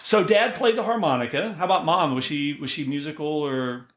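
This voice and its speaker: A man's voice: on the high side, a little fast. A man with an American accent hosting a casual interview, eager and curious, with folksy interjections. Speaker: podcast host.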